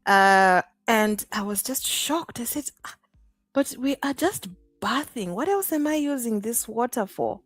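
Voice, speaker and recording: A woman's voice: a little high, animated, a little slow, a bit bright, no room sound, faint music behind. A woman from Zimbabwe, hosting a casual live-stream chat in a bubbly, exclamatory way. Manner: bubbly, exclaiming, laughs often. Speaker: host.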